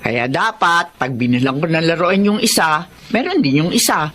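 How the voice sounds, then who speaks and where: A woman's voice: low-pitched, lively intonation, normal speed, fairly bright tone, slight background noise. An actress playing an earnest mother and grandmother who reasons and scolds in a Tagalog radio drama. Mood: calm, explaining. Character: exasperated mother and grandmother of two quarrelling sons.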